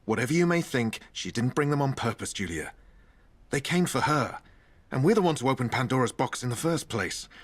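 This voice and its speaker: An actor playing a hesitant, gentle scientist in a game, with a husky voice and halting, measured delivery. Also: male voice, medium pitch, expressive. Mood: pleading.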